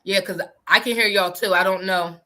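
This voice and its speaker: A woman from New Jersey with a deep voice, hosting a live podcast in loose, chatty talk that often restarts mid-sentence. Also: fairly even intonation, moderate pace, crowd noise. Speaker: podcast host. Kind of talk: casual live podcast chat.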